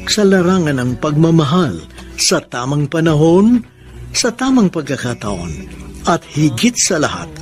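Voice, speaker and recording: A male radio drama host delivers scripted advice formally and solemnly. His voice is fairly high and swings widely in pitch. Soft music plays underneath. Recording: faint music bed.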